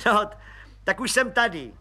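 A man's voice: high voice, lively intonation, sharp tone, pitched above the performer's own voice, heavily acted. A man voicing an eager, overfamiliar little red-haired police agent who talks in a slangy, chatty way. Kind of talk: audiobook character voice.

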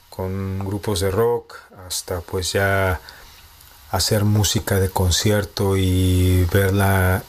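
Slow, low-voiced Mexico City man. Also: a little hiss or hum. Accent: Mexico City Spanish. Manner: measured, reflective, thinking aloud. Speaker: composer and postdoctoral researcher. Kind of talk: interview answers.

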